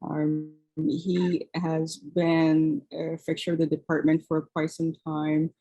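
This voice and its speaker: A woman's voice: low-pitched, monotone, slow, warm tone, muffled recording. A woman introducing a guest speaker on a webinar, speaking with frequent fillers. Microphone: video call.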